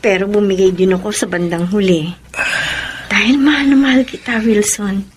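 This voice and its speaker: A woman's voice: mid-range voice, steady tone, moderate pace, slight background noise, lightly acted. An actress playing a devoted, lovelorn woman of thirty, plaintive and pleading, in a radio drama. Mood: tender pleading.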